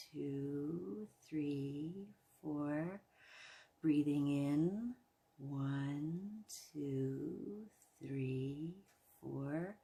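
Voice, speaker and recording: A woman leading a guided imagery relaxation in a soothing, gentle manner, leaving long pauses between phrases. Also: low-pitched, breathy edge, poor audio. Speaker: guided meditation host.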